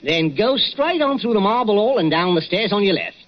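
A man's voice: high voice, sing-song, a little fast. An actor playing a chatty, chuckling night watchman with a Cockney accent in a 1940s radio drama. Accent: London Cockney. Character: cheery Cockney night watchman, middle-aged man. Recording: dull old radio recording with hiss.

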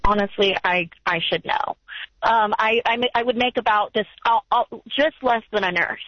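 A woman's voice: medium pitch, normal speed, no echo. A young woman in her twenties calls in and talks about her situation, hesitating and restarting with plenty of ums. The line is muffled and dull, and the voice sounds dry and close, with no room behind it. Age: 20s. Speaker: caller. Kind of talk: call-in advice show. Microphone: phone.

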